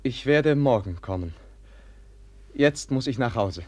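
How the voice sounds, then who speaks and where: A gentle, troubled prince in a radio play. His voice is husky and middling in pitch, and he speaks slowly in broken, hesitant phrases, softly and without guile. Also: expressive. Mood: troubled, agitated. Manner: halting, soft-spoken, hesitant. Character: gentle, naive, troubled Russian prince, self-deprecating and hesitant. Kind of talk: theatrical German radio play acting.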